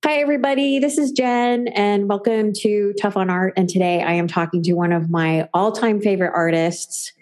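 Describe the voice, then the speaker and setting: A woman's voice: mid-range voice, moderate pace, few pauses, darkish tone. A woman hosting an art podcast over a video call, upbeat and chatty, sounding nasal from a cold. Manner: upbeat, chatty, frequent ums. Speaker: podcast host. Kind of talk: casual podcast chat and announcements.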